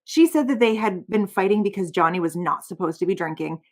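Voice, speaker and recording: A woman's voice: medium pitch, animated, fairly quick, a little mellow. A woman doing a voiceover, reading a formal legal witness statement aloud. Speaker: voiceover reader.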